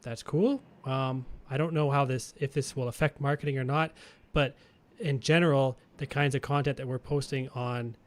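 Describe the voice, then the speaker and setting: A man from Canada chats casually as a podcast co-host. His voice sits at a middle pitch, and he thinks aloud with restarts and easy chuckles. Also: fairly even intonation, normal speed, few pauses.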